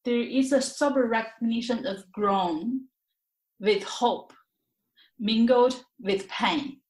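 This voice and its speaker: Woman reflecting haltingly in an echoey room. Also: a little high, darkish tone. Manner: halting, repeats words and phrases as she searches for them. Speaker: interview guest, author. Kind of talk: reflective, candid interview conversation. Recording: roomy, echoey sound.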